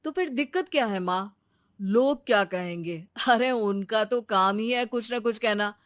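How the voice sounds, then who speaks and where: A woman voicing a kind, supportive young husband in a cartoon, speaking gently and reasonably. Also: fairly high pitch, unhurried, dry recording, studio quality, natural voice. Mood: encouraging.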